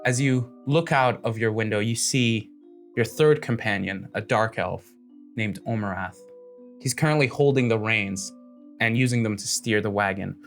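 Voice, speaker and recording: A man's voice: lowish voice, normal speed, soft background music. A man working as game master, narrating a tabletop fantasy game in vivid, descriptive second-person storytelling.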